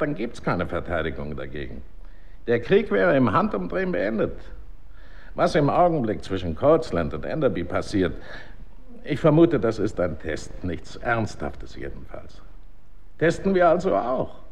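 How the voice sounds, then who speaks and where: A man's voice: on the low side, gravelly. A man acting in a German radio drama as a pragmatic company boss, formally polite, insistent and persuasive. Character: pragmatic, persuasive male boss of a chemical weapons works.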